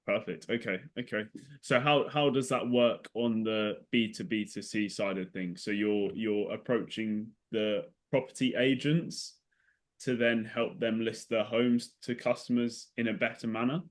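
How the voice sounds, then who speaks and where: A man's voice: lowish voice, fairly even intonation, unhurried. A man hosting a casual podcast interview, putting questions to a guest with short affirming interjections. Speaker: podcast host.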